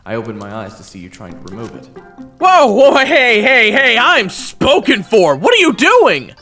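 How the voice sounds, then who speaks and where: A man performing a first-person audio roleplay, narrating and talking to the listener, often stammering and flustered, sometimes whispering and sometimes yelling. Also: high-pitched, huge pitch swings, moderate pace. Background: video game soundtrack music.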